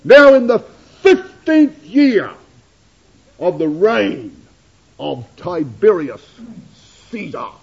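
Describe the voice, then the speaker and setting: A man preaching in a high, animated voice that swoops and climbs through each phrase. His tone is husky, and the recording sounds dull and lo-fi. He addresses his listeners as brethren and sisters. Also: extremely slow. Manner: dramatic rises and drops in pitch and loudness. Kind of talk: sermon.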